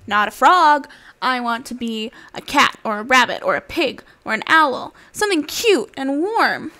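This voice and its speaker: Young frog for a picture book, female reader: high, very animated, whiny, eager, stubborn. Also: a little slow, full character voice. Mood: discontented. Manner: protesting, childlike questioning. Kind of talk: animated children's storybook read-aloud.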